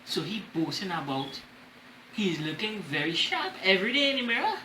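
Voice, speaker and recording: A Trinidadian man reads a picture book to children. His bright voice rises and falls widely as he explains simply. The room sounds echoey, with a little noise. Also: high voice, sing-song, moderate pace. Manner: explains by repeating phrases simply. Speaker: children's storytime host. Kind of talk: animated picture-book reading. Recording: roomy echo with faint noise.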